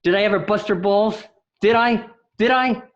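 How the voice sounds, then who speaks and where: A man's voice: high voice, moderate pace, natural voice. A man playing a stubborn, indignant wiseguy bookie in a radio drama, griping and combative. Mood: defiant. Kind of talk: amateur radio drama acting. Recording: dull lo-fi home recording.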